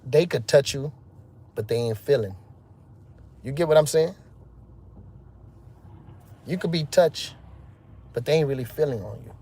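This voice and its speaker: A man's voice: mid-range voice, measured pace, pauses often, dark tone, slightly rough. A man hosting a casual phone livestream, rambling and asking "you hear me" again and again. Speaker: livestream host. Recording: noisy phone livestream audio.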